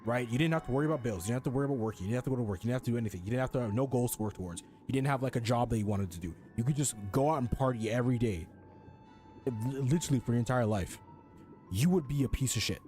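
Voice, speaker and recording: Male game commentator: soft-edged warm timbre, opinionated, sweary, casual, hammering points, light music behind. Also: mid-range voice, racing. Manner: emphatic, repetitive, blunt, sweary. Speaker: game streamer.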